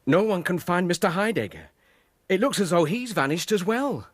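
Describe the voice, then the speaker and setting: Earnest English schoolmaster, lively and dry. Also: male voice, high voice, normal speed, no echo. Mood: worried. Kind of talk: radio drama acting.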